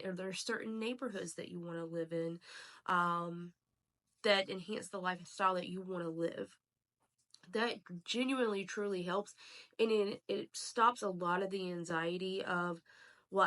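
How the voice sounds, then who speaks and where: A woman who is sick and a little raspy, talking casually and emphatically as she gives advice as a realtor and channel host. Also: fairly low pitch, a bit bright. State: sick, slightly hoarse. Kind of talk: casual talk to camera, advice. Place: at home, indoors.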